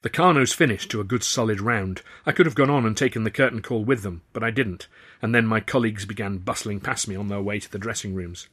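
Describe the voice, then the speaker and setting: A man reading his own novel aloud in the first person, with a wry, lively storytelling manner. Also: fairly low pitch, quick pace. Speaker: author and narrator. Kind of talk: audiobook narration, first person.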